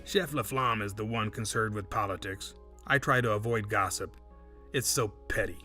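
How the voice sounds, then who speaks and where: Man acting a smug, sharp-voiced courtroom suspect. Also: lowish voice, moderate pace, faint music behind. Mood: feigned innocence. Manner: formal, condescending, precise. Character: smug evasive male suspect on the witness stand, falsely polite. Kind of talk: audio drama acting.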